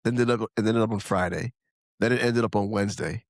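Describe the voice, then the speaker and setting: A man's voice: fairly low pitch, a little fast, no echo. Laughing podcast man, dry close mic. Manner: laughs while talking, acts out quoted voices. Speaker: podcast co-host. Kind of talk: casual chat.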